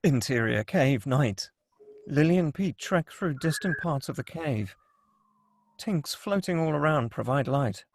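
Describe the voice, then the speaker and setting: A man's voice: fairly high pitch, expressive, a little slow. A man reading stage directions as dramatic scripted narration at a table read.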